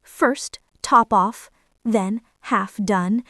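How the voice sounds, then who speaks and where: A woman's voice: mid-range voice, extremely slow, a bit bright, dry recording, clean studio sound, full character voice. A woman voicing a small, good-natured female mouse in a children's fairy tale, with an earnest, exclamatory manner. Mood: dismayed.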